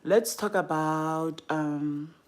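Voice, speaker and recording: A young South African woman hosts a casual livestream in a slightly low voice. She is chatty and informal, thinking aloud as she goes and often letting sentences trail off before picking up a new one. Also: very slow. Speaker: livestream host. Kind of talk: casual chat while doing makeup.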